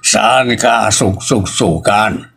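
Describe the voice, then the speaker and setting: A man's voice: fairly low pitch, very slow, gravelly, a bit bright, husky, full character voice. A man playing an exasperated, argumentative husband in a family audio drama. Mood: defensive. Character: exasperated husband arguing with his wife and father. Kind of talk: Ilocano family radio-style drama acting.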